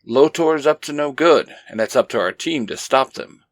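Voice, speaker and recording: A man's voice: fairly high pitch, normal speed, no room sound. A man hosting a story show, reading a scripted, welcoming and promotional introduction. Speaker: host.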